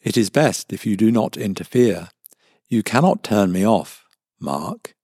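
A man's voice: lowish voice, slightly rough, no echo, studio quality, exaggerated voice. A man voicing a cold watchful smart-speaker AI. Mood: cold, polite. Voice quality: flat, measured, polite yet menacing.

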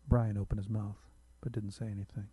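Low, very mellow male story reader. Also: level tone, a little fast, breathy edge, no echo. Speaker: author reading his short story. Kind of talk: literary story narration.

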